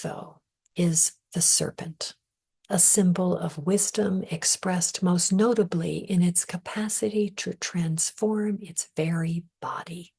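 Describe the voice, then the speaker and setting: Breathy, unhurried woman guiding meditation. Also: on the low side. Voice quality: breathy, soft, often near whisper. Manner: calm, measured, soothing. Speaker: meditation guide. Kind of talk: guided meditation, scripted narration.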